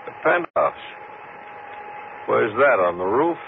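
On a muffled, noisy vintage radio recording, a male night elevator operator. He sounds plain and obliging, with a gravelly edge and a quiet menace in 1950s suspense style. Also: medium pitch, big pitch swings, slow, full character voice. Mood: flat, polite. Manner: plain working-man speech, casually polite.

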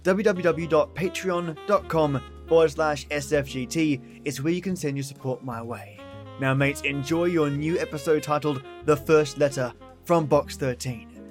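A man's voice: on the high side, normal speed. A man introducing a podcast episode in a chummy, theatrical, intimate style, addressing listeners directly. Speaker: podcast host. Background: soft guitar music.

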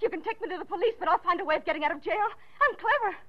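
An actress in a 1940s radio mystery playing an unhinged, boastful murderous wife, agitated and insistent. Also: female voice, very high voice, expressive, very fast. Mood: furious, boastful. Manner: agitated, insistent, obsessively repeating herself. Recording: muffled vintage 1940s radio recording.

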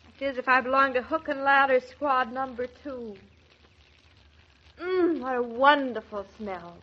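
An affectionate, playful young American newlywed wife in a vintage radio drama. Her voice sits fairly high as she teases and fusses over her husband. The old transcription sounds muffled. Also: unhurried.

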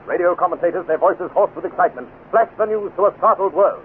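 An actor playing a dramatic, urgent announcer narrating a 1950s radio adventure serial. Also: male voice, high-pitched, quick pace, narrow, tinny audio, natural voice. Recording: thin, faintly noisy old radio recording.

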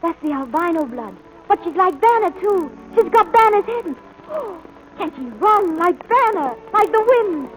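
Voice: extremely high pitch, very animated, moderate pace, soft background music. A performer playing an eager, dreamy, horse-mad young ranch boy, breathless with wonder, in 1940s radio drama style. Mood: awestruck. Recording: noisy old radio broadcast.

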